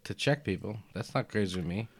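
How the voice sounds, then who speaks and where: A young man in casual conversation, emphatic and animated, dropping "man" and "dude" as he argues his point. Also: on the low side, a little fast. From United States, family from Albania. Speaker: podcast guest. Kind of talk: casual chat.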